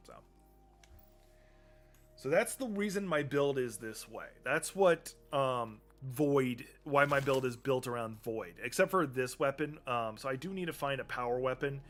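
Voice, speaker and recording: Hoarse sounding male game streamer. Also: medium pitch, fairly bright tone. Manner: rambling, many ums and restarts, talking through menus. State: losing his voice. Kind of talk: casual live-stream commentary.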